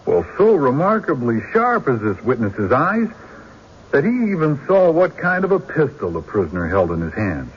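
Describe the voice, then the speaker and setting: An actor playing a shrewd country defense lawyer in a radio drama, with a husky voice and deliberate, theatrical courtroom rhetoric. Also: male voice, a little high, exaggerated voice. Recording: dull lo-fi old radio recording.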